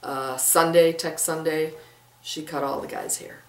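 A woman's voice: sits low, fairly even intonation, measured pace. A woman in her fifties, a theater director, chatting casually in an interview. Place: indoors in a small book-lined room. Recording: roomy, distant mic, faint noise.

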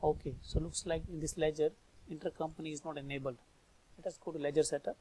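A man with an Indian accent and a slightly high-pitched voice works through a software lesson step by step. He thinks aloud in short, informal phrases. Faint noise and clicking sit behind the voice. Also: level tone, quick pace, poor audio. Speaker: software trainer. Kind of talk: step-by-step screen tutorial narration. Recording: background noise with mouse clicks.

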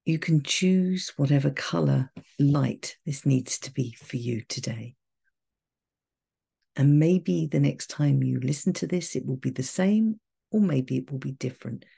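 A woman guiding a meditation in a soft, breathy, soothing voice, gentle and measured with long pauses. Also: a bass voice. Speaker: meditation guide. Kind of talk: guided meditation, calm and soothing.

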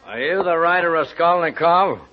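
A man's voice: a little high, a little fast, slight background noise, close to an everyday voice. An actor playing a brusque, commanding policeman in old-time radio drama style. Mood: stern. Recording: dull old radio recording.

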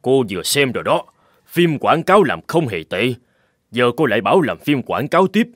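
A man's voice: medium pitch, sing-song, moderate pace, no room sound, studio quality. A man voicing a stern, demanding and dismissive company director in a cartoon, speaking bluntly and scornfully. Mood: exasperated. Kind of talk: dubbed cartoon dialogue.

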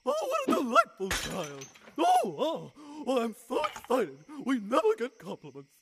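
A man playing an excitable, fussy, silly tea-party host in an audio drama, gushing and husky. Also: extremely high pitch, moderate pace, noisy background, full character voice. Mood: gleeful, excited. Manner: repetitive, gushing, giggly.

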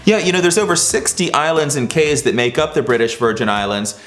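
A man in his forties co-hosting a travel show, chatting with his wife in a relaxed, conversational way on a studio set. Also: fairly high pitch, brisk. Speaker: co-host. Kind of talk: casual scripted chat. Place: indoor studio set with sofa.

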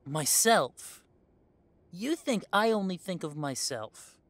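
A man voices a defiant young werewolf heir. He pitches fairly high for a male and sounds sarcastic and indignant, in audio roleplay style. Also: lively intonation, slow pace, a bit bright, dry recording, heavily acted. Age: young adult. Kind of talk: audio roleplay drama acting.

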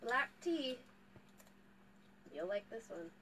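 A young woman joins a tea tasting and keeps up playful, teasing remarks. Her voice sits somewhat high, caught from across a shop room with a faint hiss in the background. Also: big pitch swings, slow pace, bright, forceful tone, rough recording. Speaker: class participant. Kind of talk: casual chat while tasting. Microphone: far camera.

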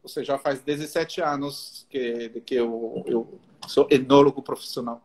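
A man's voice: medium pitch, fairly even intonation, unhurried, darkish tone. A middle-aged man from France with a French accent, a guest enologist explaining things in a friendly way, with many restarts, on a live video call from home. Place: home room, indoors. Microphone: video call on laptop.

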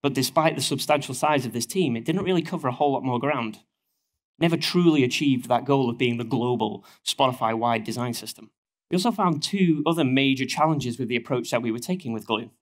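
A man's voice: mid-range voice, fairly quick. Northern English man giving a talk. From northern England. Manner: steady explanatory delivery with frequent ums and uhs. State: getting over a cold. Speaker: conference speaker. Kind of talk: conference presentation. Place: stage of a conference hall, at a lectern.

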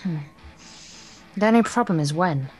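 A woman's voice: fairly low pitch, lively intonation, moderate pace, slightly rough. A woman co-hosting a let's play, reading a visual novel aloud with playful, casual banter. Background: soft guitar music.

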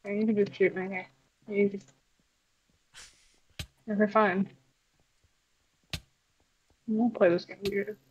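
Husky woman as an unimpressed puppet. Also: medium pitch, flat delivery, takes it slowly, long pauses, highs cut off. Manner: curt, dry, dismissive. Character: grumpy, unimpressed female puppet. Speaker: role-play gamer. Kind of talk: in-character banter while playing a game.

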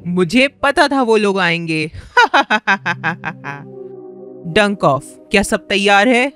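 A woman's voice: mid-range voice, expressive, fairly bright tone, close to an everyday voice. A woman voicing a cruel, gloating witch queen with a commanding, menacing manner in a children's fairy-tale cartoon. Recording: music under the voice.

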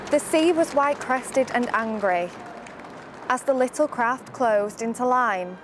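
Slow, high-pitched teenage girl reciting poetry. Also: lively intonation, crowd noise, background noise. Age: teens. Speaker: student reading a poem. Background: music.

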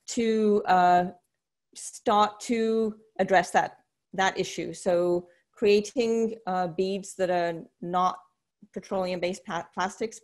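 A woman in her sixties explaining informally as a panelist on a video call, often pausing mid-sentence. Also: on the low side. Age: 60s. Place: room at home, on a video call. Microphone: wired earphone mic.

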